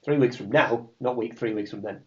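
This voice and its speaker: A man's voice: medium pitch, brisk. A man acting as game master, joking and teasing his players. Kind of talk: running a tabletop game. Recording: muffled lo-fi recording.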